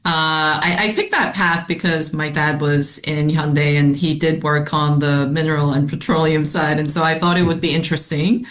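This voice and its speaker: A woman from South Korea, a company CFO, answering interview questions in a measured way with frequent ums and uhs. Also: deep voice, steady tone. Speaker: CFO guest. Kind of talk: podcast interview.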